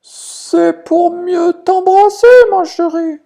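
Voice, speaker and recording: A storyteller voicing a sly big bad wolf who fakes a sweet old grandma's voice in a thin, strained, drawn-out falsetto. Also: flat delivery, creaky, dark tone, heavily acted. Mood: sugary, sly.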